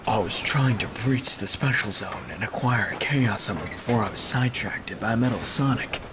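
A man's voice: on the low side, moderate pace, background noise, close to an everyday voice. Man voicing a brooding, solemn antihero hedgehog. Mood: grave. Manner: serious, formal, restrained. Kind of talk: scripted comic dub acting.

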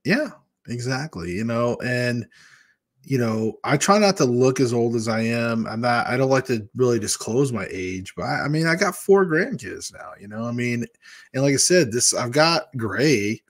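A man in his fifties, originally from Michigan, chats casually and candidly with his livestream viewers. His tone is mid-pitched and relaxed, and he wanders from story to story while gesturing. He speaks close to a desk microphone in a small room at home. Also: normal speed. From Michigan, USA. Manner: candid, rambling, talks with his hands. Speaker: weight-loss vlogger hosting a live chat. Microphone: large desk microphone in front of a webcam.

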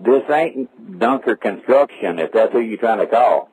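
A middle-aged man who runs a roofing business, trading crude, mocking insults in a slow drawl over a tinny phone line. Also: lowish voice, animated, a crowd in the background. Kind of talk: comic phone-call banter.

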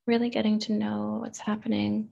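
A woman therapist leading a guided meditation in a gentle, unhurried manner, with pauses and open questions. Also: medium pitch, completely flat delivery, vocal fry, highs cut off.

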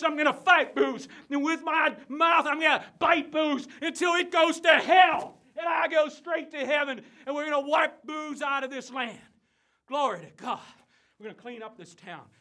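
A crusading conman preacher on stage, delivering a tent-revival sermon in a high-pitched voice. He is bombastic and folksy, confiding in the flock and exhorting them against booze and sin with theatrical fervor. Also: a bit bright. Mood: scandalized. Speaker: stage actor.

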